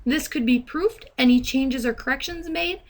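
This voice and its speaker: A woman software trainer narrating a step-by-step demo in a measured, instructional way. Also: sits high.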